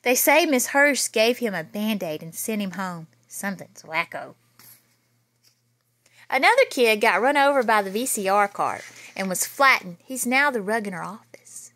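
A woman in her thirties reading a children's picture book aloud in a small bedroom, with lively storytelling and playful asides, recorded on a phone camera nearby. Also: medium pitch, normal speed, dry recording. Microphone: phone camera held nearby.

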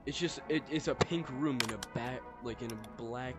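A man's voice: mid-range voice, moderate pace, loud music behind the voice. Brief: young male gamer host, wry and easily spooked. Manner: uneasy, wry commentary. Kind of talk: casual gameplay chat.

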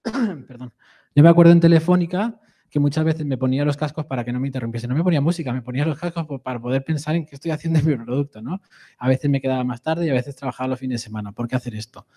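A man's voice: medium pitch, quick pace, warm tone. A man in his thirties from Madrid with a Madrid Spanish accent, giving a conversational live presentation to an audience and often tagging his sentences with a questioning "no". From Madrid, Spain. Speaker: conference speaker.

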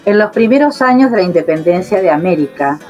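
A woman giving measured, solemn scripted narration for a radio drama. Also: fairly low pitch, lively intonation, music in the background.

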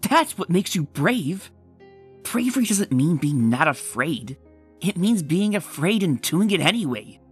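A woman's voice: fairly low pitch, breathy edge. A woman reading a comic aloud and voicing the heroic adventurer in an earnest, storytelling way. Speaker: comic dub reader.

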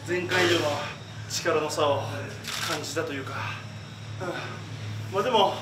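A man in his thirties, a pro wrestler, calmly reflecting on a loss in post-match comments, full of fillers. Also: on the high side, moderate pace. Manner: calm, reflective, loose with fillers. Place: backstage press area in front of a sponsor backdrop. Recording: echoey room, noisy, far from mic.

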